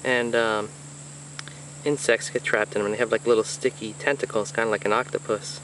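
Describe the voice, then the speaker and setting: A man's voice: fairly low pitch, little pitch movement, a little fast, fairly bright tone, low-quality recording. A man filming himself on a swamp hike, chatting casually about the plants and wildlife he passes, with frequent ums and uhs. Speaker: hiker filming his walk. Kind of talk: casual narrated hike vlog. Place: outdoors in a swampy Florida wetland. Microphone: handheld or body camera, unseen speaker. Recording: outdoor camera audio with nature noise. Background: insects and crickets in open nature.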